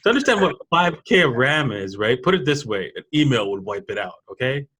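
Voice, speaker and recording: A man, telling personal stories in a casual interview in an animated way. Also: mid-range voice, fairly quick, crowd noise. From Toronto, Canada. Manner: animated storyteller, laughs often. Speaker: podcast guest, programmer.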